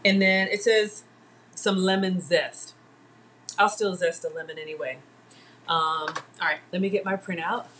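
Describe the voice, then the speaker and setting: A woman's voice: on the low side, fairly even intonation, measured pace, a bit bright. Middle-aged woman running a home cooking livestream, chatty, talks to her viewers, faint kitchen hum behind. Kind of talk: casual live cooking demo. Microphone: fixed camera at counter distance.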